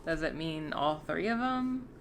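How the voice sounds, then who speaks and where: A woman's voice: sits low, expressive, a little slow, a little hiss or hum. A woman co-host chatting casually and informally. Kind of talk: casual chat.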